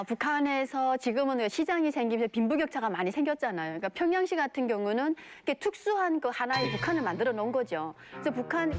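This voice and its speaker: A woman panelist explaining things in a lecturing manner in casual studio talk. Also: high voice.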